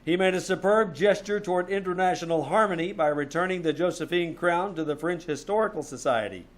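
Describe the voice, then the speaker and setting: A man's voice: high-pitched, a little fast, close to an everyday voice. A man playing a wry, hard-boiled private detective with a dry, sardonic delivery in a live radio drama. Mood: wry report. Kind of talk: live old-time radio drama acting.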